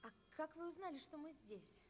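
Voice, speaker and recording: A woman playing a plucky, earnest and excitable young female rabbit in a dubbed animated film. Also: high voice, a little fast, background noise. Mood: defiant.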